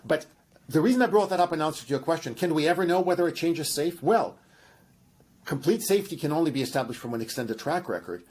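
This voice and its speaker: A man's voice: a little high, lively intonation, quick pace, darkish tone, breathy edge. A man with a Russian accent, a genetics professor giving long, enthusiastic explanations with many restarts and fillers. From Russian by ancestry. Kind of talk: explanatory interview answers.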